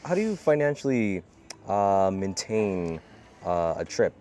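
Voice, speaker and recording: A man's voice: sits low, big pitch swings, a little slow, dark tone. A man hosting a street interview, asking short questions and offering brief encouragement. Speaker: interviewer, host. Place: outdoors in a city.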